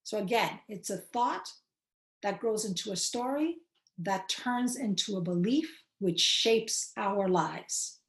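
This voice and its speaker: A woman from Queens, New York City, giving measured, explanatory answers as an interview guest. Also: mid-range voice, animated, a bit bright. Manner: measured, explanatory, slows for key points. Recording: roomy echo.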